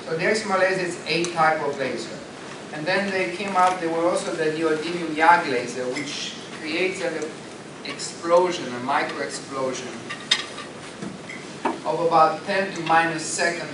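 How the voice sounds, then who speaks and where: A man's voice: fairly high pitch, moderate pace, noisy background. A middle-aged man with a Romanian accent giving an informal lecture to colleagues, rambling with many fillers and self-corrections. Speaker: eye surgeon giving a lecture. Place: hotel conference room, indoors. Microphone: far camera. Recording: roomy, distant, loud dinner clatter. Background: cutlery and dishes clattering.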